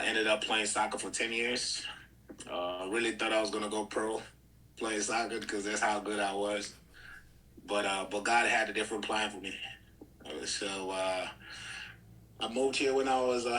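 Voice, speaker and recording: A young man from Nigeria, a guest on an interview podcast, telling his story in a relaxed way with frequent "uh" fillers. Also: on the low side, moderate pace, slight background noise, low-quality recording. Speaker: guest, pro athlete. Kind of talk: casual interview chat.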